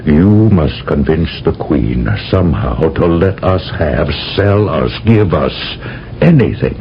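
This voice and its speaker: An actor playing a grave, wry military general who gives measured orders in a 1970s radio drama. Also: male voice, rumbling low, heavily acted. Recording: dull old radio recording with faint noise.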